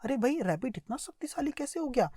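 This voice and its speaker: A woman's voice: sits high, big pitch swings, dark tone, husky, dry recording, studio quality. A woman with an Indian accent teaching through a story, explaining it line by line and repeating herself with little check questions. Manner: explanatory, repetitive, with check questions and slowly read-out sentences. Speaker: English teacher. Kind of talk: line-by-line story lesson with translation and word explanations.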